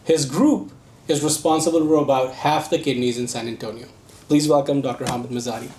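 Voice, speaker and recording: A man hosting an interview show, upbeat and encouraging, with quick back-channel replies to his guest. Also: medium pitch, a little mellow, reverberant. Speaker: interview host.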